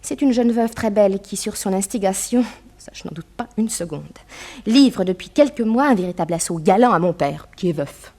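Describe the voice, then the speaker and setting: An actress as a young woman of good family in a 1960s French radio serial. She is anxious but determined, with a medium-pitched voice and earnest, articulate, emphatic delivery. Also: expressive, normal speed, a little mellow, close to an everyday voice. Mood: indignant. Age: young adult. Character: anxious, determined young daughter of a rich businessman.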